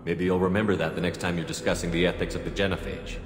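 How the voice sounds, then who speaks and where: A man plays a principled commander from a sci-fi game, low-voiced and quick in delivery. He is direct and authoritative, heard in an echoing hall over music. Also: little pitch movement, natural voice. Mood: steady, measured. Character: male military commander, firm, level-headed, principled hero. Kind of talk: video game cutscene acting. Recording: echoey hall reverb under clear background music.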